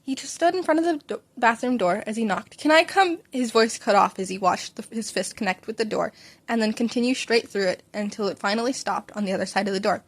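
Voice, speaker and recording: A woman narrating a story aloud as an informal hobby reader, sometimes stumbling and rereading a line. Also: mid-range voice. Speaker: fan fiction podcast reader.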